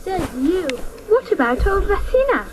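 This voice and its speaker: A woman's voice: very high-pitched, big pitch swings, a little slow, noisy background, exaggerated voice. A woman in a radio drama playing a defiant but frightened schoolboy, blunt and childlike.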